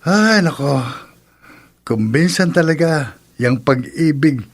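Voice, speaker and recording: Grumbling Filipino drinking buddy. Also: male voice, on the high side, expressive, slow pace, heavily acted. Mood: teasing, resigned. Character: grumbling, good-natured male drinking buddy. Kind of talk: Filipino radio drama acting.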